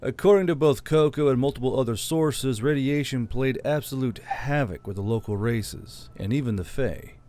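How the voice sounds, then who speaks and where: A man's voice: mid-range voice, normal speed, hardly any pauses, warm tone. A man narrating a fiction audiobook from a script.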